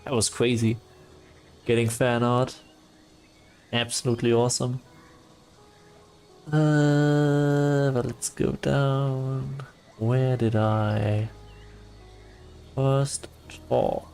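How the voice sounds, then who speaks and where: Man, livestreamer, soft calm voice, slow talker, hesitant fillers, casual chat. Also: mid-range voice, gravelly, faint music behind.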